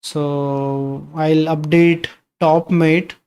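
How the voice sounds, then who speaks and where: A man's voice: a little high, level tone, very slow, darkish tone, smooth. A man hosting a live coding stream, reading viewer questions aloud and answering them in a casual, conversational way.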